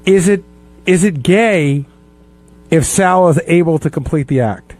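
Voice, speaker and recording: A man's voice: on the high side, measured pace, darkish tone. A man in casual radio banter, talking in a probing, questioning way. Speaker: radio show regular.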